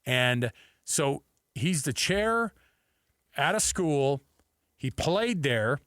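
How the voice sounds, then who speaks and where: Emphatic higher-voiced male sports radio host. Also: very animated, extremely slow, fairly bright tone, no echo, clean studio sound. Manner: emphatic, repeats points for emphasis. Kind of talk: opinionated sports talk radio. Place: radio studio.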